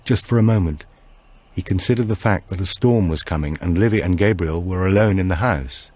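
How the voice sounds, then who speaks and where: A man reading a supernatural thriller as an audiobook narrator, in a measured, deliberate storytelling manner. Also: sits low, a little mellow, no room sound.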